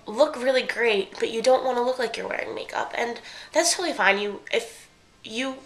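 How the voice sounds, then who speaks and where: A woman's voice: fairly high pitch, moderate pace, fairly bright tone. Chatty congested young woman vlogger. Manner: chatty, rambling, self-correcting. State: congested from a cold. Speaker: beauty vlogger. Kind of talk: casual makeup tutorial.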